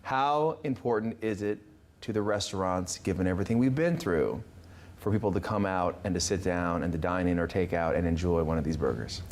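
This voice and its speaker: A man's voice: sits low, a little fast, a little mellow, slight background noise. A man hosting a TV interview in a studio, speaking informally with effusive, encouraging phrasing. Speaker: talk show host.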